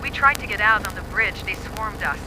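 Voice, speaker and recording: Guy doing a desperate young survivor on ham radio, high pleading voice, really loud noise. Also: brisk. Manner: pleading, distraught. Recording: very noisy ham radio transmission.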